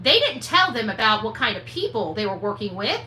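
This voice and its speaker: Woman streamer ranting, real combative and repetitive, bright piercing voice, echoey room w a bit of noise. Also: mid-range voice, very animated, a little fast. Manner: combative, indignant, emphatic, repetitive. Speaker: livestream host. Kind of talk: livestream commentary rant. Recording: roomy echo, faint background noise.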